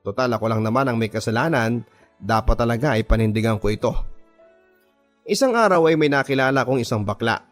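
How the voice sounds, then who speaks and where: A Filipino man tells a first-person story as a radio drama narrator. His medium-pitched voice is dramatic and confiding, reading from a script. Music plays behind him. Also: brisk. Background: music bed.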